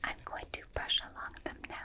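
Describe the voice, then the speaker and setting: A woman voicing a gentle, curious alien examiner in an ASMR roleplay, speaking in formal, clinical phrases in a breathy whisper, close to a binaural microphone. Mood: curious, soothing. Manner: slow, clinical, fascinated, formal stilted phrasing.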